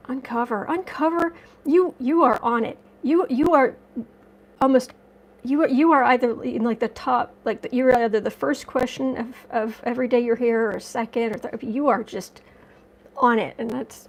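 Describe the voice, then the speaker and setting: Chatty woman, slightly raspy today. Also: fairly high pitch, a little hiss or hum, rough recording. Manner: rambling, self-correcting, chatty with viewers. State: slightly raspy from dust allergies. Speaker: tarot and astrology livestream host. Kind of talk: casual livestream chat reading comments.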